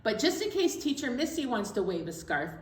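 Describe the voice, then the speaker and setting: A woman's voice: on the high side, sing-song, fairly quick. A woman hosting a children's storytime, chatting and explaining things cheerfully to young children. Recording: echoey room, distant mic, faint noise.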